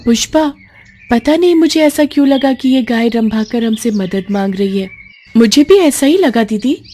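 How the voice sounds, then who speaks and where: A woman's voice: fairly high pitch, expressive, warm tone, voice pushed up. A woman voicing a poor, earnest young orphan sister in a storybook, with a plaintive, emotional delivery. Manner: plaintive, emotional, drawn-out lament.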